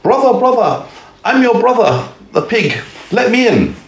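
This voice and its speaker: A man voicing a lonely little male pig who was turned into a wolf, in a high, pleading voice. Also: big pitch swings, a little slow, exaggerated voice. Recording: echoey room, faint clinking dishes.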